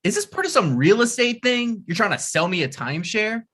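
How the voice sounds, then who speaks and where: Guy reading a sweet weird dude who rambles, bright voice. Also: sits high, sing-song, brisk, heavily acted. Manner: earnest, rambling, stammers. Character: earnest, odd young man. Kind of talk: table read of a comedy script.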